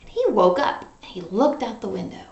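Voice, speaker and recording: A woman teacher talking directly to young children in an animated, conversational read-aloud lesson style. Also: medium pitch, fairly quick, dull audio. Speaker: music teacher and storyteller for children. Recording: roomy, echoing home recording.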